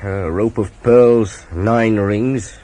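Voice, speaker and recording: An actor playing a practical, sceptical police sergeant in a 1980s radio drama, matter-of-fact and questioning. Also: male voice, lowish voice, drawn-out words, warm tone. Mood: measured, sceptical. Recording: old radio broadcast, faint car noise.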